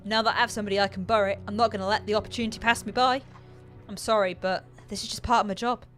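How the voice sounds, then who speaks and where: A woman's voice: mid-range voice, steady tone, very fast, fairly bright tone, soft background music, lightly acted. A woman voicing a female gravedigger who speaks in an offhand, empty, reasonable-sounding way. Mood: matter-of-fact.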